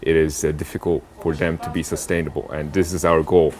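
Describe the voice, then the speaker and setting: A young adult man from South Korea answering interview questions about impact investing, pausing often with fillers and restarts. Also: extremely low pitch, little pitch movement, darkish tone. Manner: halting, many fillers and restarts.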